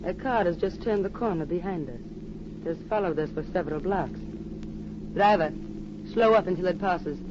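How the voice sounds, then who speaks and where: A woman's voice: fairly low pitch, moderate pace, long pauses, lightly acted. Woman as wary female arms dealer, formal, commanding, vintage radio sound, dull and faintly noisy. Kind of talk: 1940s radio drama acting.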